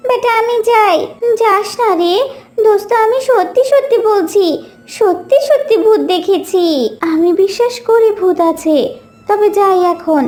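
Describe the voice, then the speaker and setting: A performer voicing a small, childlike cartoon character, earnest and squeaky, in a children's story. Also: a little slow, darkish tone. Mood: chatty, earnest. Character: small friendly lonely child ghost and excitable young animal friend.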